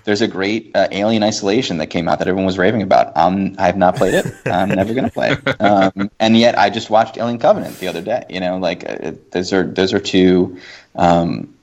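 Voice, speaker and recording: A man in a casual, opinionated discussion, thinking aloud in a halting but emphatic way and rephrasing as he goes. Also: sits low, darkish tone. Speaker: podcast guest. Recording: dull lo-fi podcast audio.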